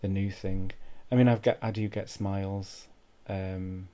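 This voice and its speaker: A man telling a comic first-person story in an awkward, deadpan way, halting and repeating his phrases. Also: sits low. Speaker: comic monologue host.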